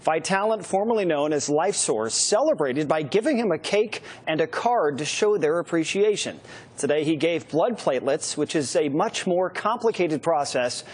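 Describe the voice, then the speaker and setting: A man's voice: a little high, lively intonation, normal speed. A man with an American accent reading broadcast news as an anchor.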